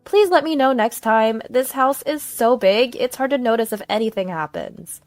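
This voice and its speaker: Woman putting on a high, falsely sweet daughter-in-law. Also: animated, normal speed, no echo, soft background music, close to an everyday voice. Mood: scolding, insistent. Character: outwardly sweet, evasive, bossy daughter-in-law.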